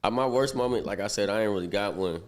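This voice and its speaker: A man's voice: fairly low pitch, quick pace. A young man casually telling a story on a podcast, laid-back and laughing as he goes. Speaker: podcast guest.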